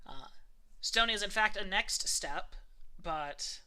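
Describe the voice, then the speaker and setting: Bright, clear voice running a tabletop game. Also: mid-range voice, slow. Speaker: game master. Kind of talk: narrating a tabletop game, casual.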